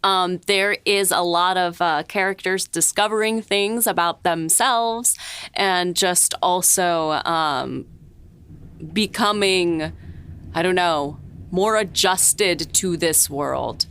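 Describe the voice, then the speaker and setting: A woman's voice: lowish voice, slow pace, a bit bright, smooth. A woman co-hosting a casual panel chat, earnest and enthusiastic as she talks. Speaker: podcast co-host and series writer.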